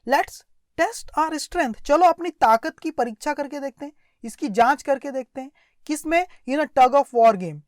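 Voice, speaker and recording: Woman, Indian accent, higher-pitched voice, teacher explaining phrase by phrase, repetitive, dry sound. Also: darkish tone, dry recording. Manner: explanatory, repeats phrases and checks understanding. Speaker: English teacher. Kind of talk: lesson translating an English story into Hindi phrase by phrase.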